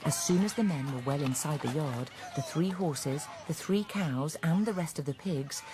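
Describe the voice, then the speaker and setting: A man as a measured storyteller, narrating an animal fable in a scripted radio drama. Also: a little high, background noise, natural voice.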